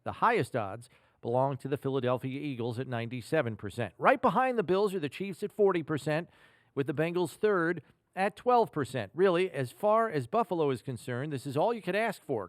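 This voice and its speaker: A man hosting a sports podcast, explaining football scenarios in a measured, deliberate way. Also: a little high, dry recording, clean studio sound. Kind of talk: football analysis talk.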